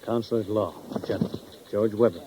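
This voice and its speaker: A man's voice: on the low side, fast talker, lightly acted. A man playing a pompous, fussy bank official in a 1950s radio drama, stiff, formal and discreet. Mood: officious. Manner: stiff, formal, muttering then over-enunciating. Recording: noisy old radio recording.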